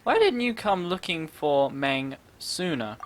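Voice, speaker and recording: A woman's voice: low voice, expressive, a little slow, very noisy. A woman gaming streamer giving casual, amused, reactive commentary as she plays.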